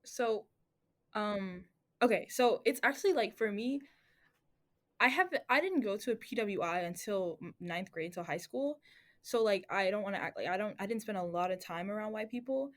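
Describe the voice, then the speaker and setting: A woman's voice: medium pitch, lively intonation, moderate pace. A young woman talking casually on a student podcast, reflective and rambling, with many fillers and restarts. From Atlanta family.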